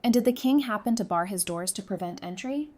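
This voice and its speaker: A woman's voice: mid-range voice, expressive, brisk, darkish tone, natural voice. A woman playing a caring, candid, wry survivor in an audio drama, speaking earnestly and expressively.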